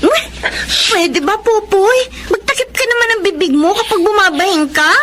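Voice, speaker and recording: A woman's voice: very high voice, moderate pace, a bit bright. A woman with a Filipino accent playing a pert, playful teenage younger sister in a radio drama. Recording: noticeable background noise.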